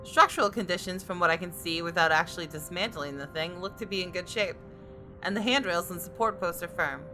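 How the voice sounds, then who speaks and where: Wry, bright-voiced female narrator. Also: on the low side, a little fast, faint music behind, poor audio. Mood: matter-of-fact. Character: wry, observant professional female architect and designer. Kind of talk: visual novel narration read in character.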